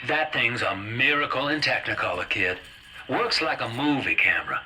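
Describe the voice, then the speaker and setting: An actor playing a folksy, wisecracking hustler in a retro game, with a chummy drawl. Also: male voice, mid-range voice, lively intonation, moderate pace, natural voice. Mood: jovial, instructive. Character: folksy, slick fast-talking male hustler guiding the player. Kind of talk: stylized retro game voice acting, colorful period slang. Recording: noisy radio-style transmission.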